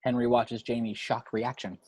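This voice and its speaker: A man's voice: medium pitch, little pitch movement, normal speed, darkish tone, dry recording. A man reading screenplay action and scene headings as narrator for a table read at home. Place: at home, dry close recording.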